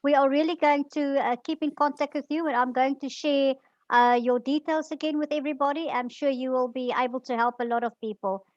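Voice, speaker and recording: A woman's voice: high voice, steady tone, fairly quick, no room sound, lo-fi sound. A woman hosting an online webinar, effusive and thanking speakers over and over. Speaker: webinar host. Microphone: video call.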